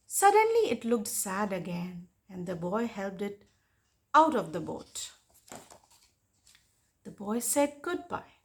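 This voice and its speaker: A young girl of about ten reads a storybook aloud as a young book reviewer. She goes slowly and deliberately, with a lively, swooping pitch that gives the narration plenty of expression. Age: child, about 10. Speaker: young booktuber reading a picture book aloud.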